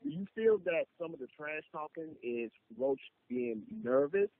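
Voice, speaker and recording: A man's voice: on the high side, expressive, normal speed, dry recording. A male reporter asking a question on a press call, hesitant with fillers. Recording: dry, tinny phone line.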